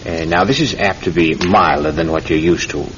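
A man acting as a genial shopkeeper with a sly, ingratiating manner, in a classic radio play. His voice is deep and his talk soft-soaps the customer. The old recording is dull and noisy. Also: moderate pace, close to an everyday voice. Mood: coaxing. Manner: ingratiating, coaxing. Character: genial, sly, coaxing male tobacconist.

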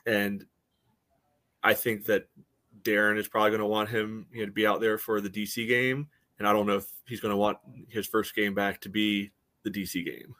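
An American man co-hosting a soccer podcast, talking casually and analytically in a rambling, halting way. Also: on the low side, little pitch movement, sharp tone. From United States. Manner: rambling, hesitant, frequent "you know". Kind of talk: casual chat, match analysis.

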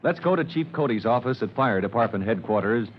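A man's voice: mid-range voice, lively intonation, brisk. A man announcing an old radio drama in a dramatic, declamatory style. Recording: dull old radio recording with hiss.

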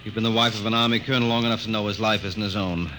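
A man playing a hurried, brusque army colonel and father in a 1950s radio drama. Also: on the low side, fairly even intonation, noisy background, close to an everyday voice. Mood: brisk. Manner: brusque, dismissive.

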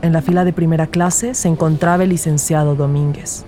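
A woman's voice: sits low, fairly even intonation, brisk, music in the background, natural voice. A woman playing a rookie detective who narrates her case in a scripted crime audio drama. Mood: grave, measured.

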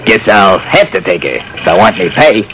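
An actor playing a burly, cocky, mercenary male salvage boatman in a classic radio drama, smug and rough-spoken. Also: low voice, big pitch swings, normal speed. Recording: noisy old radio broadcast.

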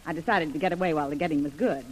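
A woman's voice: low voice, rapid-fire. An actress playing a brassy, streetwise woman with slangy, wheedling talk in an old radio adventure drama. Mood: tough, confiding. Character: brassy, hard-boiled woman undercover as saloon hostess. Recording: noisy old radio broadcast recording.

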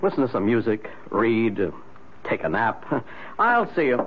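A muffled, faintly noisy old radio drama recording of a brisk doctor. He is authoritative and familiar, with lively swings of pitch as he probes and directs. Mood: breezy, reassuring. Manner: firm, probing, direct. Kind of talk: 1970s radio drama acting.

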